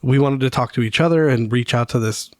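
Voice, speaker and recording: A man's voice: medium pitch, brisk, dry recording, studio quality. A middle-aged man co-hosting a podcast with informal, slangy, conversational talk. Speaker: podcast co-host. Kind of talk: casual podcast chat.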